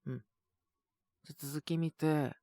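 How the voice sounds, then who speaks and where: A man with a sore, scratchy throat and a cough, chatting casually and intimately in soft, hesitant murmurs as if to someone beside him. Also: a little high, steady tone, slow pace, vocal fry, dry recording, studio quality. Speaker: voice actor making situation-voice videos. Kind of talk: intimate casual chat to an unheard partner.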